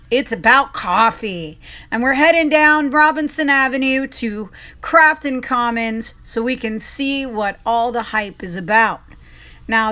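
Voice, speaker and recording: Bright-voiced enthusiastic woman host. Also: high voice. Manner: enthusiastic, chatty, with fillers. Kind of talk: upbeat local promo narration.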